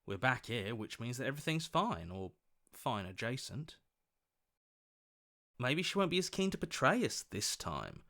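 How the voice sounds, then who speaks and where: Earnest young hero with lively, swooping pitch. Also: male voice, measured pace, pauses often, heavily acted. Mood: hopeful, hurt. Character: earnest young male hero, hopeful, indignant inner voice. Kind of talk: video game voice acting.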